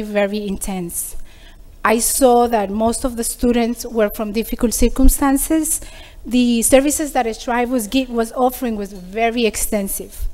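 Earnest woman giving a speech. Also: on the high side. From Peru. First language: Spanish. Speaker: program graduate giving a speech. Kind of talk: prepared public speech.

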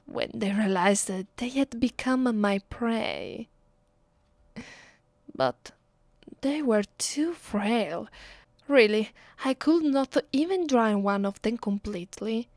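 Slow, menacing Italian-accented vampire mistress played by a woman. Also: medium pitch, dry recording. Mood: cold annoyance. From Italy. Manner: slow, deliberate, menacing, condescending. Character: cruel, bored, centuries-old female vampire mistress. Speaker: ASMR roleplay voice actress. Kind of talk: ASMR roleplay acting.

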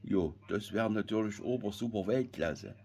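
A man's voice: on the low side, moderate pace, darkish tone, close to an everyday voice. A man voicing a homely puppet pal.

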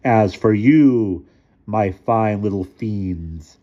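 A man voicing a tall, robed, theatrically menacing stranger who makes slow, grand pronouncements in an audiobook. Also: fairly low pitch, lo-fi sound. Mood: grandly threatening. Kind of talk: audiobook character voice.

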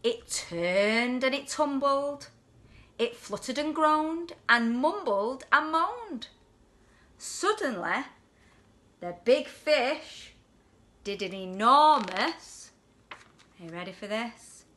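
A woman in her forties reads a picture book to children in an animated, deliberate way, leaning on the rhyming words. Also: sits high. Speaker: deputy headteacher reading a children's story. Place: indoors at home on a sofa.

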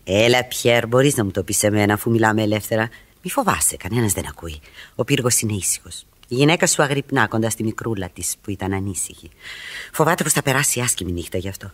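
A woman's voice: very deep voice, expressive. An actress playing an inquisitive, flirtatious married woman in a Greek radio play, coaxing and playful with theatrical delivery. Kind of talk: mid-century Greek radio theatre acting.

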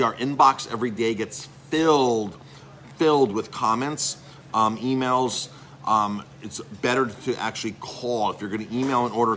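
Chatty bright-voiced chef. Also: male voice, on the low side, slight background noise. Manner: chatty, salesy, filler-heavy rambling. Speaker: chef and restaurant owner. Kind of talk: casual live-stream update. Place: restaurant.

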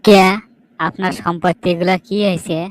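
A woman's voice: lowish voice, level tone, moderate pace, darkish tone, no room sound, close to an everyday voice. A woman voicing a wronged, proud younger brother in an amateur cartoon, plaintive and declamatory. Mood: curious, proud.

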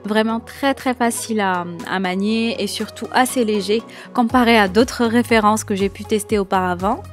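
A woman's voice: mid-range voice, moderate pace, over music. A young woman narrating a cleaning video voice-over, sharing tips with enthusiasm and frequent hesitations. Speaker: home cleaning vlogger.

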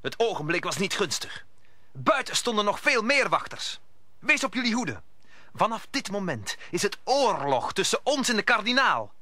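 Young heroic musketeer: high-pitched, lively intonation, earnest radio play declamation. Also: male voice, moderate pace, no room sound, exaggerated voice. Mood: resolute.

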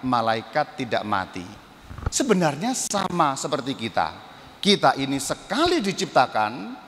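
Middle aged priest guy teaching, highish voice swinging up and down, big echoey church. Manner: animated, emphatic, rhetorical questions answered himself. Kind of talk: religious teaching to a congregation. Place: inside a church, standing before congregation. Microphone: handheld microphone through the hall. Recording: reverberant church hall.

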